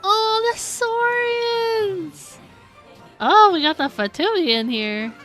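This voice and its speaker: A woman's voice: very high-pitched, takes it slowly, a bit bright, soft background music. A woman livestreaming a game and reacting casually, giggly and gushing. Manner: giggly, gushing over cute things. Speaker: game streamer.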